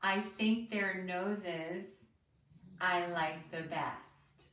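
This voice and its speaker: Woman, teacher-style storytelling for children, very slow delivery, expressive, roomy distant recording. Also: lowish voice. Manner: expressive, deliberate, addressing young listeners. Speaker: teacher reading a picture book aloud. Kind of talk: read-aloud storytelling for children with asides and questions. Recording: roomy, distant mic with faint noise.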